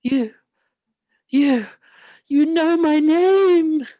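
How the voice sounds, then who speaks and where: Woman playing a high, very slow little troll. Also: dark tone, no echo, lo-fi sound. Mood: frightened. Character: mischievous, timid little troll with a sausage.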